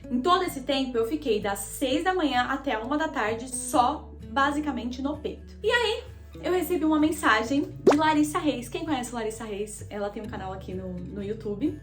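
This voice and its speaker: A woman's voice: sits high, animated, moderate pace, reverberant, music in the background. A young Brazilian woman vlogger telling a personal story to her viewers in a chatty, confessional way. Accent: Brazilian Portuguese. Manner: chatty, addresses viewers directly as "gente". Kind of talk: casual confessional storytelling to camera.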